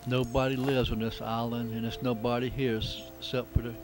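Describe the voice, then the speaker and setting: A man narrating a casual travelogue in an unhurried, reflective, plain-spoken way. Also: medium pitch, flat delivery, music in the background. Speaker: amateur travel videographer. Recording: noisy camcorder sound with outdoor ambience.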